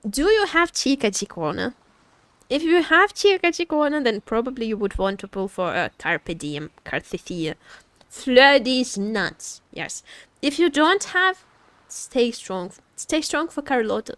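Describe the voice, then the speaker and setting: A woman's voice: on the high side, big pitch swings, unhurried, a bit bright, no room sound. A woman game streamer chatting casually and playfully over gameplay, often repeating her phrases. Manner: playful, repeats phrases, teasing role-play as a vampire. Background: video game music.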